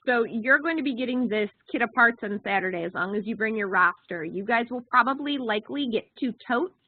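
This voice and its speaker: A woman's voice: on the high side, expressive, a little fast. A woman co-hosting a conference call, giving an informal, informational briefing over the telephone. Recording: narrow, tinny phone conference line.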